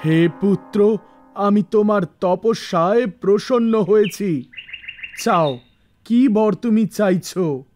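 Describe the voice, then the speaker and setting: Slow, stately, benevolent creator god. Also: male voice, high-pitched, darkish tone, soft background music. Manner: slow, stately, solemn pronouncements. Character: benevolent, grave creator god granting boons. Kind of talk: animated mythology story acting.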